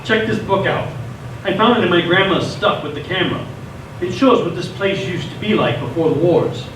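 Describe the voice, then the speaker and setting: A man's voice: high voice, normal speed, close to an everyday voice. A man playing a cocky, bruised teenage livestreamer in a radio play, addressing his viewers with showy, slangy bravado. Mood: eager tour guide. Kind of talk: radio theatre acting. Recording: echoey stage, distant mic, noisy.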